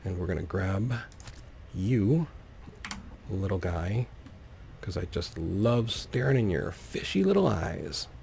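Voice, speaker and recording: A man with a lowish, creaky voice gives casual gaming commentary. He thinks aloud playfully and takes his time, with faint noise underneath. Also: sing-song, mellow. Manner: unhurried, thinking aloud, playfully addresses objects. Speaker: gaming video host. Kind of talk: casual let's play commentary.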